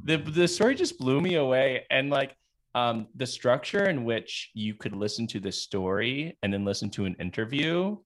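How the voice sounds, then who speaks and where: A man with a medium-pitched voice co-hosts a casual podcast interview. He talks in a halting, conversational way, restarting as he searches for the right words. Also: expressive. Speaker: podcast co-host.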